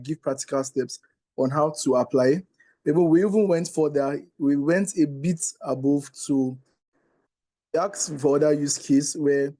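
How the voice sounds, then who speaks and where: A man's voice: medium pitch, dark tone, dry recording. A man with a Nigerian accent gives an informal report as a workshop facilitator, conversational and often checking in with listeners. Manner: conversational, often adding "right?" and "like". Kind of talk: informal spoken session report.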